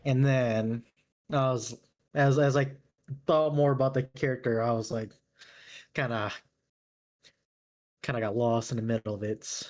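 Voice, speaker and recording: Mid-pitched man thinking aloud. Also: steady tone, a little slow, long pauses, a little mellow. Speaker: player creating a character. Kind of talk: casual chat.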